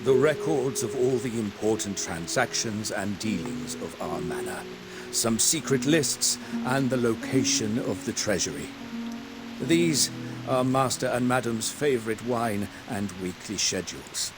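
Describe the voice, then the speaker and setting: Elderly devoted butler, unhurried, expressive pitch, gentle deference, game acting, music behind. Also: male voice. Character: devoted, courteous elderly male butler of a manor.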